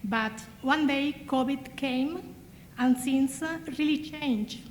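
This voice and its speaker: Woman, high voice, hesitant speech, long hall reverb. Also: slight background noise. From Cuba. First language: Spanish. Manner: slow and careful, with hesitant fillers. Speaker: graduating adult ESL student. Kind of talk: prepared graduation speech. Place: large hall.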